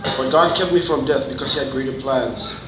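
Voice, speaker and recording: A man in his twenties from Fiji with a Fijian accent, giving a personal testimony to a group in an earnest, halting way. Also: medium pitch. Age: 20s. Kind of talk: personal testimony, informal public speaking. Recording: roomy, distant mic, noticeable background noise.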